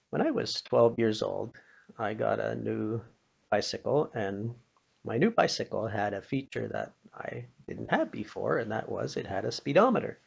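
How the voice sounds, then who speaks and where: Gravelly older North American man telling stories. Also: medium pitch. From Pennsylvania, United States. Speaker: storyteller. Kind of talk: personal storytelling with a moral. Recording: dull, muffled lo-fi recording.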